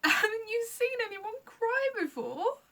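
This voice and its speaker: A woman's voice: high voice, normal speed, creaky, husky, distant mic, full character voice. A woman voicing a young girl in a children's storybook, earnest and pleading. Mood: upset. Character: young girl, upset but sensible.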